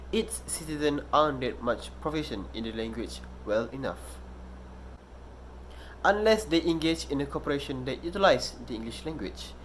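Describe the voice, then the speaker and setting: A young man from Malaysia interviewing a classmate for a class presentation, soft-spoken, with frequent quiet acknowledgements. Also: medium pitch, slight background noise, poor audio. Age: young adult. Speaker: student interviewer.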